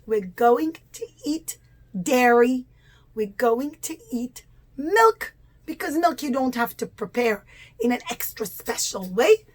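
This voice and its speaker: Simple ancient villagers in a children's story, acted out by a woman, speak in a high, exclaiming voice. They wonder aloud with exaggerated, naive earnestness as they work things out. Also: very animated, slow pace, full character voice. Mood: decided, eager.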